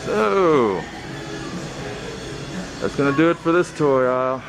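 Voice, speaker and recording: A man hosting a toy-hunting vlog, commenting casually and haltingly off the cuff as he browses inside a large store. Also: mid-range voice, expressive, gravelly, over music.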